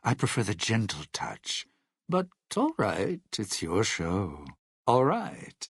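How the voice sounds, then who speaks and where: Sly game companion, gravelly male lounge singer, slow wry delivery, dated slang, lively swoops. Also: fairly low pitch, no echo, clean studio sound, exaggerated voice. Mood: sly, sardonic. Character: sly, sardonic, world-weary old-time lounge singer and schemer. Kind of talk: video game companion voice lines.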